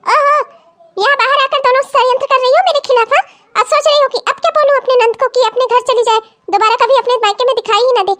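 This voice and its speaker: A woman's voice: very high voice, fast talker, fairly bright tone. A woman playing a spoiled, entitled married daughter in a family melodrama, whiny, haughty and demanding. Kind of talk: Hindi family melodrama story acting.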